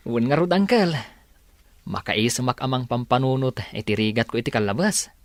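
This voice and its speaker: A man's voice: medium pitch, expressive, normal speed, no room sound, lightly acted. An actor playing a humble, dutiful young student father in a radio drama, sincere and modest. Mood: hopeful, humble. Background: background music.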